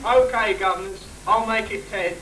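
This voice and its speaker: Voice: mid-range voice, normal speed, crowd noise, close to an everyday voice. An amateur actor playing a comic, haggling character in a Christmas pantomime. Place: stage performance before a live audience. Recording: echoey, noisy amateur stage recording, distant.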